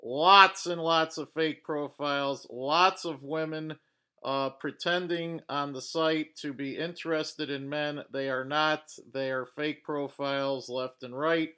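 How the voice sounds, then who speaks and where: A man hosting a reaction video, pausing clips to give blunt, judgmental commentary with frequent fillers. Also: fairly high pitch, fairly even intonation, pushed and bright, clean tone. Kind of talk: commenting over paused clips.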